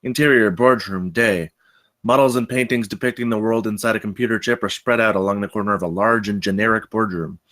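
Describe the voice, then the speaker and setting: A man's voice: on the low side, a little fast, fairly bright tone. A man reading stage directions as scripted narration at a film table read.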